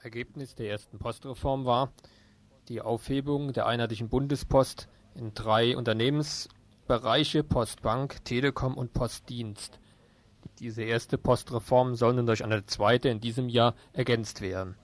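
A man's voice: medium pitch, fairly even intonation, measured pace. A man reading scripted news reports as a radio reporter.

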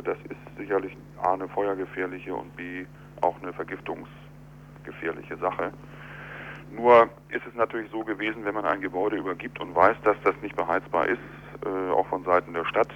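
A man speaking as an architect in a telephone interview, explaining in a measured, deliberate, argumentative way. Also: deep voice. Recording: dull telephone line with clear hum.

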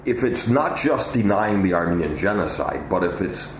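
A man giving a measured, articulate interview answer as an expert. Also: low-pitched, expressive, slight background noise. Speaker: interviewee, research institute director. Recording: echoey room with voices around.

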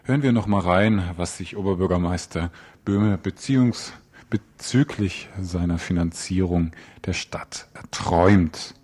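A man's voice: low-pitched, unhurried, a little mellow, breathy edge. A man presenting an informal local news interview, phrasing his questions loosely and with some hesitation.

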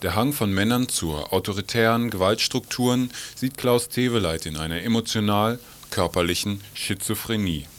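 A man reading scripted narration for a radio feature in a measured, formal, emphatic manner. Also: lowish voice.

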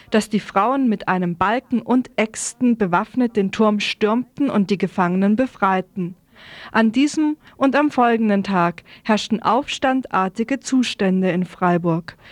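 Woman, medium pitch, scripted radio narration, presenter, dry close sound. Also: no room sound.

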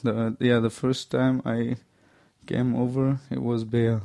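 A man's voice: mid-range voice, level tone, slow pace, gravelly, warm tone, dry recording, clean studio sound. A man from Afghanistan giving hesitant answers as an interviewee. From Kabul, Afghanistan.

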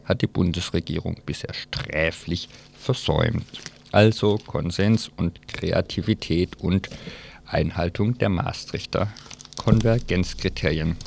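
A man's voice: low voice, creaky. A man presenting an informal live radio press review, reading aloud and dropping in loose, colloquial, wry asides.

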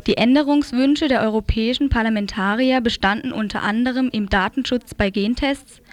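A woman's voice: fairly high pitch, dry recording. A woman reading a scripted news report on the radio.

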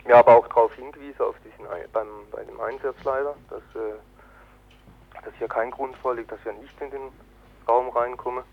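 Over a tinny, slightly noisy phone-like line, a man speaks as an activist in a radio interview. He explains himself earnestly and haltingly, with very little rise and fall in his mid-pitched voice. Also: steady tone, vocal fry. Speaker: political activist being interviewed. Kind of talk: spontaneous spoken interview.

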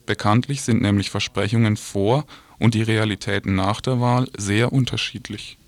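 A man's voice: fairly low pitch, fairly even intonation, normal speed. A man reading a scripted radio news report.

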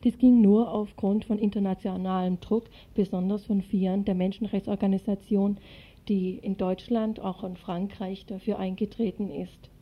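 A woman's voice: mid-range voice, flat delivery, normal speed. A woman narrating a scripted radio report as a contributor to a news feature. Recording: dull, band-limited radio recording.

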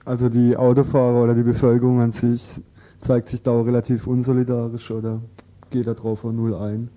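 A man hosting an unscripted radio interview, talking informally and a little haltingly as he presents and asks questions. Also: mid-range voice, completely flat delivery, warm tone, rough recording.

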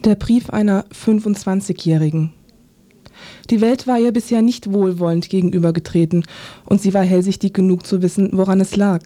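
A woman narrating a scripted literary radio feature in a sober, measured manner. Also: mid-range voice, fairly even intonation, dark tone.

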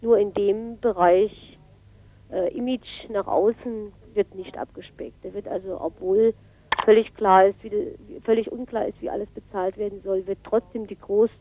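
A woman's voice: medium pitch, fairly even intonation, normal speed. A woman on a narrow, phone-like line. She explains her position off the cuff as an interviewed local politician, in an ordinary mid-range voice. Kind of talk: radio interview, spontaneous explanation.

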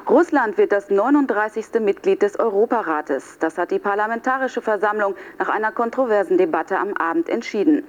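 A woman newsreader reading scripted radio news in a formal, factual manner. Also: medium pitch. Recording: dull lo-fi radio recording, light noise.

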